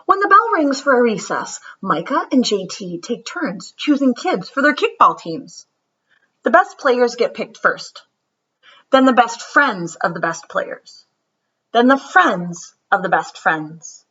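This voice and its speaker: Female children's read-aloud host, bright and lively with a slightly husky edge, explanatory delivery, slightly dull lo-fi recording. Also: a little high, a little slow. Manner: explanatory, addressing young children. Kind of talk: expressive children's picture-book read-aloud.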